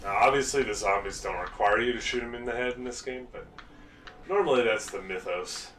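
A man's voice: medium pitch, a little fast, roomy sound, recorded from a distance, soft background music. Chatty man exclaiming over a game. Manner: excited, chatty, exclaims often. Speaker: gaming video host. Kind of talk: let's play commentary. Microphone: headset.

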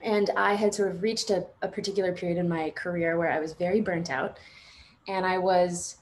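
A woman in her thirties telling her personal story informally on a panel video call, halting and reflective, with frequent filler phrases. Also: lowish voice, steady tone. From born and raised in Morocco until seven, then United States. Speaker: panelist sharing personal testimony. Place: indoors at home on a video call. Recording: noisy video-call audio with room ambience.

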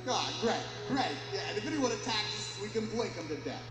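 A performer playing a sarcastic, exasperated toy in an animated film, with loud music behind. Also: mid-range voice, normal speed, reverberant, lightly acted, low-quality recording.

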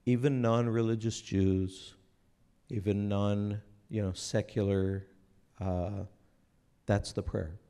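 A man in his forties, raised in Israel, giving an earnest, unhurried talk into a stand microphone on a church stage, often restarting his phrases. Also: fairly low pitch, little pitch movement, dark tone, clear, resonant tone. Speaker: speaker at a church breakfast. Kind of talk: sermon-like talk to an audience.

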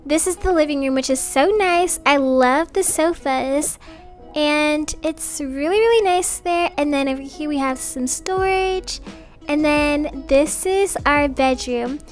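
A woman's voice: sits high, a little slow, faint music behind. A woman playing an upbeat, chatty young mother in an improvised family roleplay, casual, reassuring and gushing. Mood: admiring.

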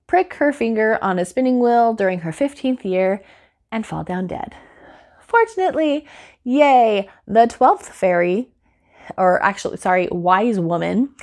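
A woman's voice: medium pitch, creaky, darkish tone. Wry, chatty woman hosting a book vlog. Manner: wry, sarcastic asides, giggly. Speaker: host of a book channel. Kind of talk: casual, chatty storytelling review to camera. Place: small indoor room at home, by a bookshelf. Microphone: lapel mic.

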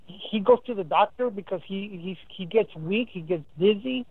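A male caller with a high-pitched voice speaks candidly and haltingly, often repeating words. He comes through a dry, tinny phone connection. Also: breathy edge, no room sound. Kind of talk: confessional phone conversation.

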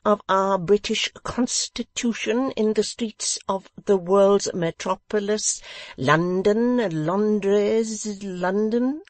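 A reader doing a pompous English gentleman host. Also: high-pitched, no echo, voice pushed up. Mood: condescending. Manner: loud, slow, overenunciated as if to a deaf child.

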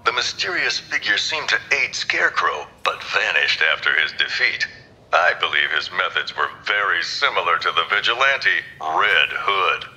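An actor playing a grave journalist who narrates a museum tour in a solemn, portentous, dramatic style. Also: male voice, low-pitched, very animated, moderate pace, pushed and bright, full character voice. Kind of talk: dramatic scripted exhibit narration. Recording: reverberant exhibit speakers with music. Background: music.

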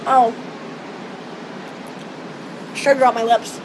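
A teenage girl chatting casually in a vlog on a hotel balcony above the beach, hoarse from losing her voice, rambling with lots of fillers. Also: a little high, little pitch movement, takes it slowly. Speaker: vlogger. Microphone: phone or webcam close in front. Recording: wind and wave noise outdoors. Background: ocean waves and beach noise.

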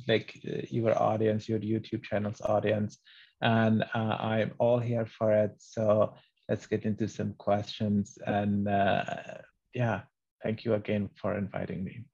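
A middle-aged man from Afghanistan whose first language is Farsi speaks softly and haltingly, in a low, husky, mellow voice. He answers interview questions reflectively over a video call. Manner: soft-spoken, halting. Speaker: interview guest, children's author. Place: small book-lined room at home. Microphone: video call, computer microphone. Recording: muffled, band-limited video call audio.

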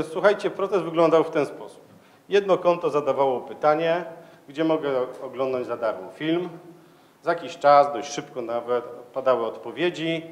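A man gives an informal, explanatory conference talk in a conversational, somewhat hesitant way, speaking into a handheld microphone in a large lecture hall. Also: mid-range voice. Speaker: conference speaker, security manager.

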